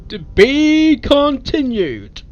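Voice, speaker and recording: Male reaction host, animated higher voice, hesitant rambling chat, muffled lo-fi sound. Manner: halting, rambling, swears casually. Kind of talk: casual spoken reaction commentary. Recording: dull, lo-fi home recording.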